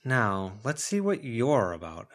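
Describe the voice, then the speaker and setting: A man's voice: mid-range voice, sing-song, slow pace, a bit bright. A man voicing an unfazed, wry woman in an audiobook, with a dry, matter-of-fact delivery. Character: unfazed, dryly wry woman dealing with cursed objects.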